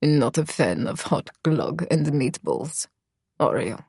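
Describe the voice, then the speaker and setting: A cold, smug young man, voiced by a woman narrator who growls his words low and quiet, dropping well below her own voice. He speaks slowly and with menace, in a dry, close recording. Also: big pitch swings, creaky, breathy edge, no room sound, full character voice. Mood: menacing.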